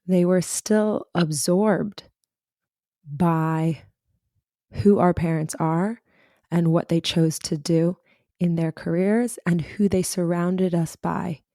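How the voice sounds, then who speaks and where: A woman host, a little hoarse from recovering her voice, talking reflectively and personally to listeners as a career coach. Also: lowish voice, dark tone, dry recording, studio quality. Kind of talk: solo podcast talk.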